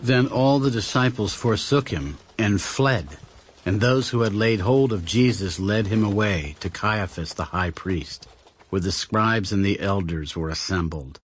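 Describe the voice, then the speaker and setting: A man's voice: lowish voice, unhurried. A man narrating a dramatized audio Bible in a scripted storytelling style. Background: music.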